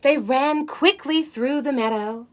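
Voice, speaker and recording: A woman's voice: sits high, unhurried, slight background noise, pitched above the performer's own voice. A woman playing a sweet, wide-eyed unicorn who hosts story time for children, with cooing wonder and exaggerated emphasis. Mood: storytelling wonder. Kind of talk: animated picture-book reading for children.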